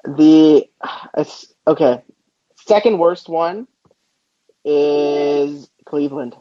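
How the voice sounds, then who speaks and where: A man with a mellow, slightly high voice co-hosts a casual sports podcast. He talks informally and gives his opinions freely. The recording is dry and somewhat dull. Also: very slow, dry recording. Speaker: podcast co-host. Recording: dull, lo-fi audio.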